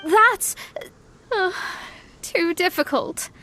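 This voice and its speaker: A woman's voice: very high-pitched, lively intonation, drawn-out words, pushed and bright, slight background noise, heavily acted. A woman playing a dramatic, haughty young astrologist in an anime game, bookish in her phrasing and easily flustered into stammering. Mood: gloomy, proud.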